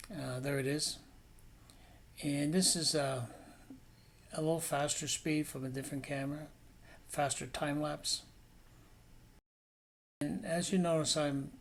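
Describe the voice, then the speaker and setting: A middle-aged man giving a casual painting demonstration as an instructor, rambling and thinking aloud. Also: on the high side. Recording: clear steady background noise.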